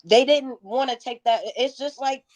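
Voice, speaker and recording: High-voiced, frank woman in her thirties. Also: normal speed, darkish tone. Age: 30s. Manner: frank, slangy, profane. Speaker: interviewed guest, music performer. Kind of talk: casual candid interview storytelling.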